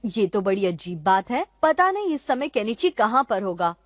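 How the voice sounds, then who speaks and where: Animated, earnest little cartoon ninja boy. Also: medium pitch, normal speed, dry recording. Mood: puzzled. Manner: earnest, gently scolding. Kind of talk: Hindi cartoon dub acting.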